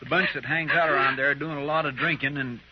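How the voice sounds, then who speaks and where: Man doing folksy deputy type, talks fast, worried, old muffled radio. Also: fairly high pitch, steady tone, heavy background noise, natural voice. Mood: anxious, eager. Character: anxious, deferential marshal's sidekick, simple folksy man. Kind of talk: old-time radio western acting. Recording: dull vintage radio recording, loud music.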